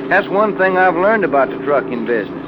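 A folksy sidekick from a 1940s radio adventure. He drawls colloquially and grumbles in a fairly high voice. The old recording is clearly noisy. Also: sing-song, fast talker, lo-fi sound. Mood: easygoing, tired. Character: folksy, girl-ogling, grumbling detective sidekick called Doc. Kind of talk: 1940s radio drama acting.

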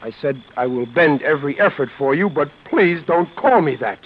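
A man's voice: on the high side, expressive, moderate pace, slight background noise, natural voice. An actor playing a suspicious, streetwise American tough guy in vintage radio comedy-adventure, stammering and slangy. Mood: grudging. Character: slangy, bumbling, suspicious tough guy who narrates his misadventure. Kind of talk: 1940s-50s radio comedy-adventure acting. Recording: tinny narrow-band old radio, music behind.

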